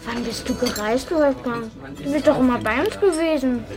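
A woman's voice: high-pitched, moderate pace, music in the background, natural voice. A female performer playing a curious, forthright little girl in a German radio drama, with blunt questions.

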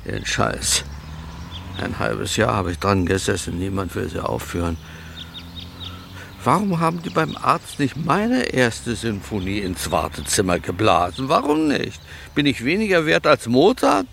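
An actor playing an addled, aggrieved composer in a radio drama, woozy from medication and rambling erratically from one question to the next. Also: male voice, lowish voice, big pitch swings, unhurried, fairly bright tone, heavily acted. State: woozy from pills and injection. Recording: clear background noise, outdoor ambience.

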